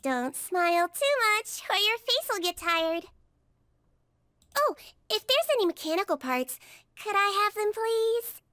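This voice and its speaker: A woman's voice: extremely high pitch, big pitch swings, unhurried, bright, forceful tone, dry recording, clean studio sound, exaggerated voice. A woman voicing a perky, bubbly young girl character in a video game. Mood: sweet, playful.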